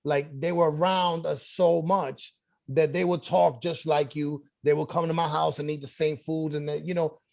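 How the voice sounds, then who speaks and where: New man, gravelly voice, casual moderator, lots of fillers. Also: on the high side, little pitch movement, normal speed, darkish tone. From Washington Heights, New York. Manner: loose, filler-heavy talk with many "you know"s. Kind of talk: casual interview chat.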